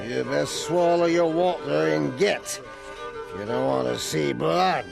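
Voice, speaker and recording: An actor playing a drunken, threatening pub bully with a gravelly voice in an audio drama. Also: male voice, on the high side, unhurried. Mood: menacing. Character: menacing drunken male pub bully with a grudge. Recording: music and pub crowd behind.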